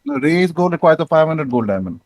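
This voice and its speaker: A man's voice: fairly high pitch, sing-song, fairly quick, darkish tone, slight background noise. A man acting as game master in casual tabletop talk, informal and given to casual swearing.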